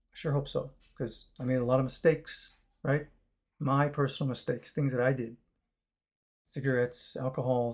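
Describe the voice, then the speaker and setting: A man with a raspy, chesty voice, recovering after a long fast, talking casually to his viewers in a rambling way with many fillers and restarts. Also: mid-range voice, a little mellow. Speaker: vlogger. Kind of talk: casual talk to camera.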